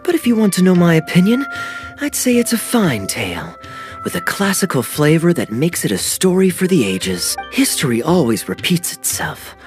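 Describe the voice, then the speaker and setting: A suave young adventurer from a video game, smooth and teasing, his pitch swinging widely as he toys with each phrase. Game music hums softly under him. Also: very animated, moderate pace. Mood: charming. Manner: smooth, playful and charming. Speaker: game character. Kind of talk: video game cutscene dialogue.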